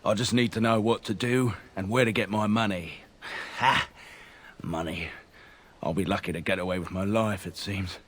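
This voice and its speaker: An actor playing a defeated mercenary killer in a fantasy game, rough, wry and grudging as he bargains. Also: lowish voice, fairly even intonation, normal speed, a bit bright. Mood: wry, weary. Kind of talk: video game dialogue acting.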